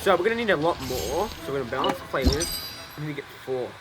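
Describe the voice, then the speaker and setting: A man's voice: a little high, expressive, quick pace. A young man casually commenting on his gameplay, rambling, swearing and repeating himself. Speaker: gameplay streamer. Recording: game music playing behind.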